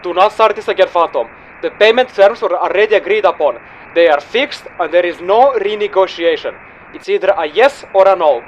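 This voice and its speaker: Man playing a high-pitched, curt mission handler. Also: animated, a little fast, slight background noise, low-quality recording. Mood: stern, firm. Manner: formal, curt, unyielding. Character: stern, businesslike male mission handler briefing a mercenary. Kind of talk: scripted mission briefing, audio log drama.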